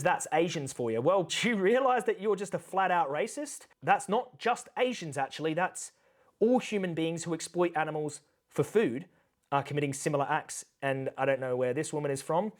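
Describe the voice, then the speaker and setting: Earnest man giving admonishing reaction commentary. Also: on the high side. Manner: earnest, admonishing, rhetorical questioning. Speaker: animal rights commentator.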